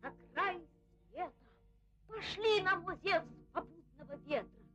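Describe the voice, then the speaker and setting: Very high-pitched eager little boy. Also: animated, unhurried, pushed and bright, heavily acted. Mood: eager, innocent. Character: eager little goatherd boy playing at being helmsman. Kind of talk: cartoon voice acting. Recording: old cartoon soundtrack with faint music.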